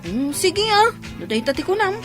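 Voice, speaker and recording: A woman playing a concerned, gossipy friend of a bride in a radio drama, expressive and confiding. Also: very high-pitched, normal speed. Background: music.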